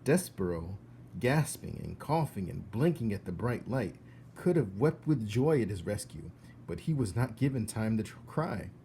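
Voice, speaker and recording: Animated man reading a story aloud. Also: lowish voice, normal speed, a little hiss or hum. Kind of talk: expressive storybook read-aloud.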